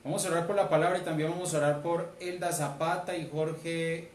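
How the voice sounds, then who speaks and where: A man's voice: a little high, fairly even intonation, fairly quick. A Colombian man, a church elder, preaching a sermon in an emphatic way, repeating key phrases. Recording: roomy, distant mic, faint noise.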